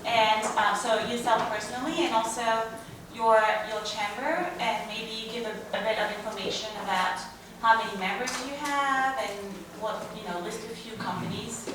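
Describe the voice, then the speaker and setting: A woman's voice: a little high, moderate pace, background noise. A woman opening a business panel, joking lightly and asking the panelists to introduce themselves, with many ums. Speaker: panel moderator. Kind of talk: moderating a panel. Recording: echoey hall mic, far off.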